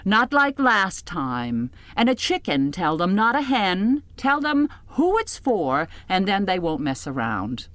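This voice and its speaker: A curt household servant woman as an audiobook narrator plays her, pitched up and insistent. She hands out her orders in short, brusque phrases. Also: big pitch swings, takes it slowly, hardly any pauses, fairly bright tone, no room sound.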